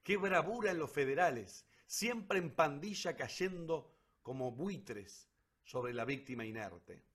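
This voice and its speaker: A man's voice: fairly high pitch, lively intonation, takes it slowly, fairly bright tone, breathy edge. A man reading scripted, dramatic narration as the narrator of a radio play.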